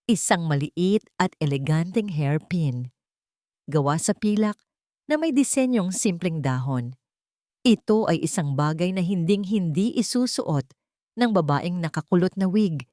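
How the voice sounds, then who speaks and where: Female narrator for scripted romance drama: lowish voice, very varied intonation, dry close sound. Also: mellow, dry recording. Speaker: story narrator.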